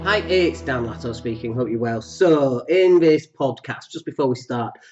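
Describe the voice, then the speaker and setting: A man hosting a solo podcast, talking with a cold that makes his voice deeper, rambling and jokey with many fillers. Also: normal speed, darkish tone, dull audio, faint music behind. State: has a cold, voice deeper than usual. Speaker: podcast host.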